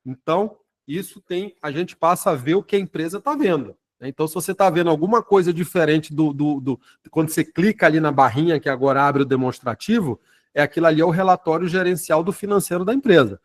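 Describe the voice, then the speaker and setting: A man's voice: on the high side, fast. A man, leading a sales network and talking informally, tacking "né?" and "tá?" onto his sentences and often restarting words. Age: 40s. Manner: conversational, with frequent "né?" and "tá?" tag questions. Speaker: network marketing leader.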